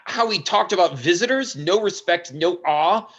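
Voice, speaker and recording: An actor playing a hot-tempered older investigator, aggrieved and grumbling, in a screenplay table read. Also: male voice, sits high, lively intonation, moderate pace, fairly bright tone, muffled recording. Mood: indignant.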